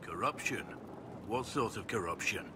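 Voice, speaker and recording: An actor playing a grave, weary, duty-bound veteran warrior in a video game, speaking in an earnest, formal, measured way. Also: male voice, lowish voice, lively intonation, background noise, natural voice. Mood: sober. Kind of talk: dramatic video game dialogue.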